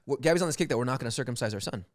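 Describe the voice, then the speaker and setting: A man's voice: mid-range voice, lively intonation, very fast, no echo, studio quality. A young married man co-hosting a podcast, tossing in wry asides and chuckling during casual chat. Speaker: podcast co-host.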